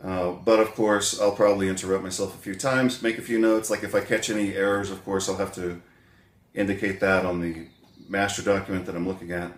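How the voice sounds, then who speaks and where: A low-voiced man, still a bit wheezy from bronchitis, addresses his viewers in a loose, rambling way. He stumbles over restarts and fills pauses with "uh." The sound carries a roomy echo. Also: level tone. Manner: rambling, frequent uh fillers and self-corrections. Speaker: chiropractic doctor and essay author. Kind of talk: casual spoken vlog, self-recorded.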